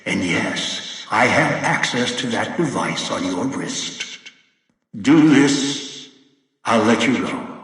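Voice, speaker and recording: An actor playing a cold, controlling old villain in a video game, speaking in a deliberate, commanding and menacing way. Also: male voice, medium pitch, animated, a bit bright. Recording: very echoey, distant, long reverb.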